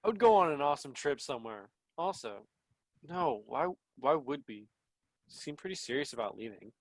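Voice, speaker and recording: Young actor as friendly, laid-back gamer, mid-pitched, slangy, dry close sound, student play. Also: male voice, animated, moderate pace, no echo. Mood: excited. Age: young adult. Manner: casual, slangy. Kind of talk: student one-act play acting.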